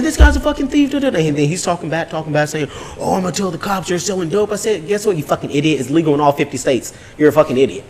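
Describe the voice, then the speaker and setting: A man telling a story in an animated, casual way, swearing freely in slangy speech and acting out both sides of arguments. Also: high-pitched. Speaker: podcast host recapping his weekend.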